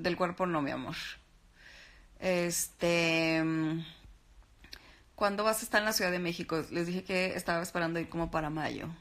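A Mexican woman in her thirties, lying in bed and chatting casually on a live stream, reading out fan comments in a relaxed, affectionate way, recorded on a phone held at arm's length. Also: on the low side. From Sinaloa, Mexico. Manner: relaxed, chatty, calls viewers "mi amor" and "baby". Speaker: actress and TV host doing a live stream. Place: lying in bed in a bedroom, indoors.

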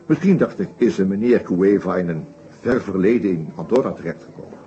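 An actor playing a shrewd, methodical detective inspector in a Dutch radio play, explaining his case deliberately with small hesitations. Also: low voice, animated, dark tone, slight background noise. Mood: measured, thoughtful. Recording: dull old radio play recording.

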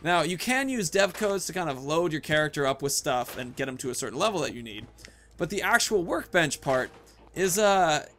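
A man's voice: on the high side, big pitch swings, moderate pace, fairly bright tone, slight background noise. A man in his thirties giving casual, off-the-cuff commentary while playing a video game. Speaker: video game streamer, host.

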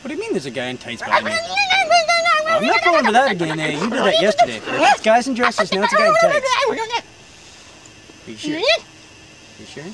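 A woman's voice: very high voice, huge pitch swings, a little fast, pauses often, exaggerated voice. A woman doing a bomb-shop keeper. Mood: scolding. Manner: folksy, tacks "there, eh" onto lines; scolding, threatening. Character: chatty hot-tempered female bomb shopkeeper. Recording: loud background noise.

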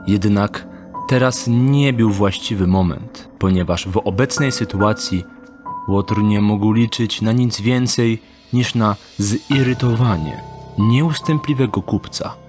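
Slow, measured male narrator over music. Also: on the low side. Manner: measured, descriptive. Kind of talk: scripted audio drama narration.

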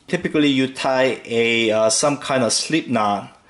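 A man hosting a fishing tutorial, calmly talking through each step with occasional fillers as he ties knots. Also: mid-range voice. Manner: slow, relaxed instructional talk with fillers. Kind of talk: step-by-step demonstration voice-over.

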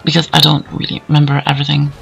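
A hoarse man giving casual, rambling commentary over gameplay, with plenty of fillers. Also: fairly high pitch, monotone, racing, a bit bright, poor audio. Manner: rambling, easygoing, many fillers. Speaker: let's play commentator.

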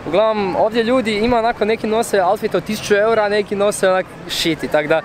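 A young man with a Croatian accent interviewing strangers on the street in quick, eager bursts. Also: high-pitched, a crowd in the background. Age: young adult. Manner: quick, eager, chiming in with short reactions. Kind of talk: casual street vox pop. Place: busy city square outdoors. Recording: loud street noise outdoors.

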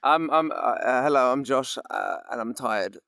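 A man's voice: mid-range voice, lively intonation, moderate pace, vocal fry, bright, forceful tone, no echo, clean studio sound. A man in his late twenties with a British accent, chatting casually as a podcast guest and telling rambling, joking stories. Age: late 20s. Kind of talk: casual chat.

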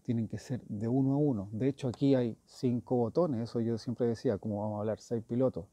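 A man's voice: medium pitch, fairly even intonation, fairly quick, warm tone, dry recording. A man giving a casual hands-on review as a motorcycle gear reviewer, informal and thinking aloud.

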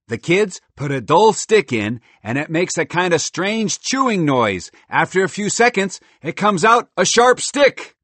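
Storybook squirrel played by a male narrator: high, eager, cocky, exclamatory, animated. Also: measured pace, few pauses, no room sound, exaggerated voice. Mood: whispered wonder. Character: excitable, boastful, inventive male squirrel.

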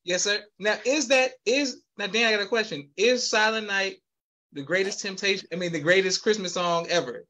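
A man's voice: sits high, normal speed, pushed and bright. A man hosting a podcast over a video call, upbeat and polite, often saying yes sir. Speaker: podcast host. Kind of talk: casual interview. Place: home room with poster wall.

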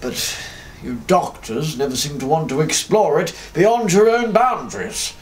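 Slow superior villain played by a man, high sing-song delivery. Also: sing-song, faint music behind. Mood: haughty. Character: pompous, evasive older male villain actor. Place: home hallway, indoors.

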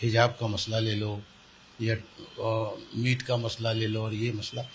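A man's voice: lowish voice, flat delivery, takes it slowly, poor audio. An older man, explaining his position in a news interview and repeating words for emphasis. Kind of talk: spoken news interview answers. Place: small indoor office. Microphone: handheld reporter's microphone held close. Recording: clear background room noise.

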